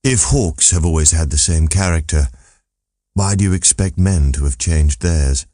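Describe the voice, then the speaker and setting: A man playing a world-weary, cynical philosopher in an audiobook, blunt and deadpan. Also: a bass voice, normal speed, dry recording, clean studio sound. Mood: coolly reasoning. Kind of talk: audiobook character voice.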